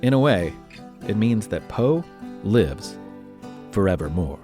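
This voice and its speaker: A man narrating scripted history as a podcast storyteller, emphatic, with dramatic pauses. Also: on the low side, sing-song, warm tone. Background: music under the voice.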